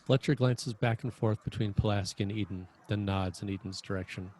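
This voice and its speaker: A man's voice: fairly low pitch, fairly even intonation, a little fast, a little mellow, slight background noise. A man reading scripted stage directions as the narrator of a screenplay table read.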